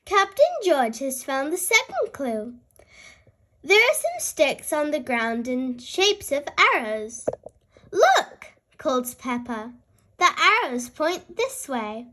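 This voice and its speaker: A young-sounding host reading a children's storybook aloud in a cheerful, expressive way, speaking directly to young listeners. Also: sits high, takes it slowly. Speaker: host and reader of a children's read-aloud show.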